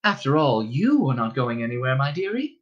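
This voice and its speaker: A man's voice: medium pitch, very animated, a little fast, highs cut off, lightly acted. A man voicing a scheming, motherly innkeeper's wife who coos and coaxes with false sweetness. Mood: cooing, falsely sweet. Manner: coaxing, wheedling, sweetly sinister.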